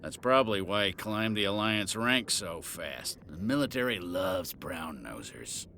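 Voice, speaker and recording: A man's voice: low voice, lively intonation, unhurried, noisy background. An actor playing a disgraced middle-aged policeman in a video game, boozy, sardonic and sneering. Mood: mocking.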